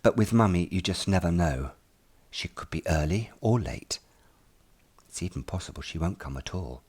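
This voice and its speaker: A man's voice: low-pitched, normal speed, slightly rough. A man reading an audiobook as an anxious, earnest ten-year-old schoolboy telling his worries in the first person. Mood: anxious waiting. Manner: earnest, fretful, expressive storytelling. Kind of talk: first-person audiobook narration in present tense.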